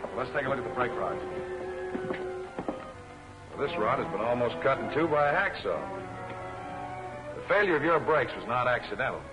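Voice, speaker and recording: An actor playing a brisk, determined newspaper editor asking businesslike questions, in 1940s film serial style. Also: male voice, mid-range voice, lively intonation, close to an everyday voice. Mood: investigating. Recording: roomy old film soundtrack with music.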